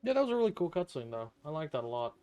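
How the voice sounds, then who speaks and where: A man's voice: fairly high pitch, very animated, very fast, dark tone, clean tone. Blunt, dry male game streamer. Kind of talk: casual live game commentary. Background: game music.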